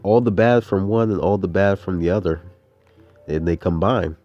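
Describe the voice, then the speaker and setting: Young man, very low voice, casual rambling monologue, laid-back slangy delivery, dull lo-fi sound. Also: normal speed, soft background music. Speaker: audio diary host. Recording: dull, muffled lo-fi audio.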